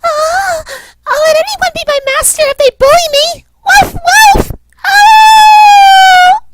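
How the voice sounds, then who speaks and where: A woman voicing an eager, submissive anime girl who squeals loudly. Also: very high voice, steady tone, takes it slowly, no room sound. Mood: ecstatic.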